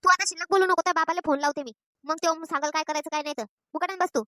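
A woman's voice: very high voice, steady tone, rapid-fire, bright, forceful tone, no echo, studio quality. A woman voicing a bossy, nagging village grandmother in a cartoon comedy, with a rural Maharashtrian accent. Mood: scolding. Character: scolding, exasperated village grandmother. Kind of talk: Marathi cartoon comedy dialogue.

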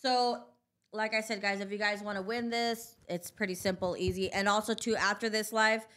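A chatty woman co-hosting a livestream with a Southwestern US accent and a bright, forward tone. She talks casually into a studio boom mic in a small room, laughing easily and reading out viewer comments. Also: steady tone, moderate pace. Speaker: co-host. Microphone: studio mic on a boom, headphones.

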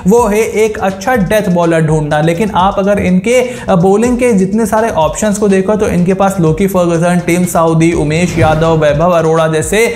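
A young man hosting a cricket analysis video, ranking teams straight to camera and slowing down to stress each announcement. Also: high voice, a little mellow. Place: small indoor studio with brick-pattern wall. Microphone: lapel mic, camera at mid distance. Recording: roomy echo.

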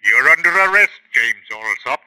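An actor playing a stern, commanding official who orders an arrest in a 1950s radio drama. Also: male voice, mid-range voice, expressive, normal speed, low-quality recording. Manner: commanding, forceful.

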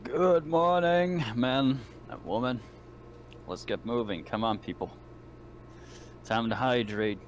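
A man playing a brisk, profane military lieutenant who rouses his squad in a tabletop roleplaying game. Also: mid-range voice, slight background noise, voice pushed up, close to an everyday voice. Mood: brisk, commanding. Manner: commanding, profane.

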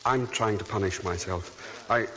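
An actor playing a weak, remorseful young husband in a 1940s radio drama, speaking earnestly and reproaching himself. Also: male voice, on the low side, a little slow, bright, forceful tone, low-quality recording.

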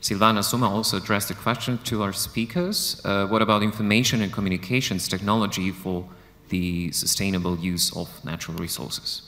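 A man reading out audience comments from social media to a panel in a conference hall, in a steady reading voice. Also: on the low side, a little fast. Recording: long hall reverb.

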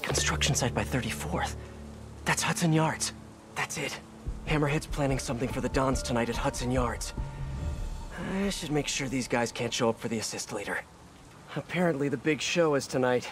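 An actor playing an earnest, wisecracking young superhero in a video game, quippy and often talking to himself. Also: male voice, mid-range voice, moderate pace, natural voice. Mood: musing. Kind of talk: video game hero dialogue. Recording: loud music over voice.

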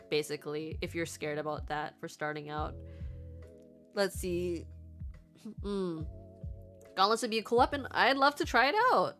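A woman's voice: fairly low pitch, a bit bright. A young woman VTuber chatting casually with her livestream viewers in a rambling, giggly manner. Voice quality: stuffy nose at times. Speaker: VTuber streamer and artist. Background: faint background music.

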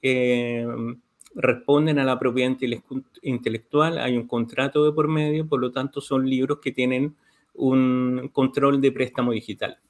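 A man's voice: medium pitch, normal speed. A middle-aged man with a Chilean Spanish accent, explaining services step by step on a video call, with many "eh" fillers. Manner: steady, detailed, with frequent "eh" fillers. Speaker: university library official. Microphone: video call webcam.

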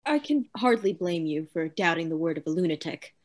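A woman's voice: on the low side, lively intonation, brisk, natural voice. A woman playing a tormented, obsessive asylum lunatic in a radio play, with formal, theatrical diction. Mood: resigned, courteous. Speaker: actor.

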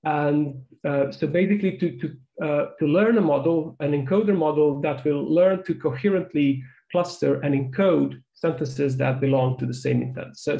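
A man's voice: medium pitch, creaky, dull audio. Croatian man giving a technical talk. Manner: frequent restarts and repeated "so, so". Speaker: guest speaker. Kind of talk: online research talk. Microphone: webcam on a video call.

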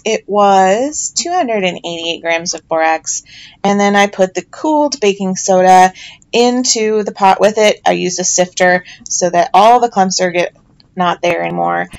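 Chatty middle-aged woman narrating a voiceover. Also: mid-range voice. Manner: chatty, self-deprecating, with fillers and restarts. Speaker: home soap maker. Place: home, recorded as voiceover.

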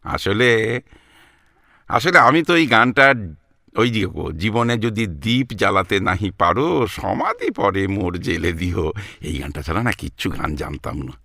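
An actor playing a sentimental, clingy elderly husband in a comic audio drama, coaxing and stammering in a West Bengal Bengali accent. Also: male voice, on the low side, big pitch swings, a little slow, creaky, pushed and bright, dry recording, exaggerated voice. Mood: sweet-talking.

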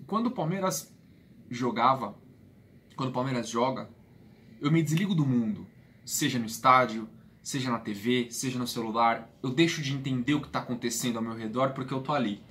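A man's voice: medium pitch, fairly bright tone. Earnest young Brazilian man, noisy room. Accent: Brazilian Portuguese. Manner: earnest, emphatic repetition of phrases. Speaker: football fan channel host. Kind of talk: casual vlog monologue. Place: small bedroom, indoors. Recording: clear background room noise.

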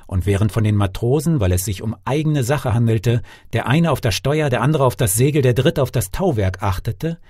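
A male storyteller reads a fairy tale with theatrical expression. His pitch moves in lively swings, and the recording is close and dry. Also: husky, no room sound. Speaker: fairy-tale narrator, actor. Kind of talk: scripted storybook narration.

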